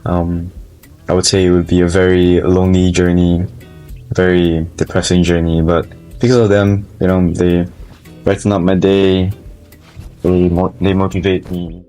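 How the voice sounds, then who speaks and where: A young man with a Malaysian accent speaks in a very low, even voice, working through his thoughts with little rises or falls. He restarts phrases often and sounds reflective. Soft guitar music plays faintly behind him. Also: normal speed. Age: young adult. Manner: thoughtful, with frequent restarts and hedges. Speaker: college student. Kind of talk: personal interview testimony.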